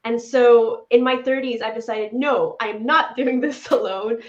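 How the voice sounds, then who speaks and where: A woman in her thirties, born in Poland, talks about her work with enthusiasm as an interview guest. Her voice sits a little high and comes through a video call. The room sounds echoey and the audio is somewhat dull. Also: a little slow. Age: 30s. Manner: enthusiastic, upbeat. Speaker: animator guest. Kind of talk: casual interview chat.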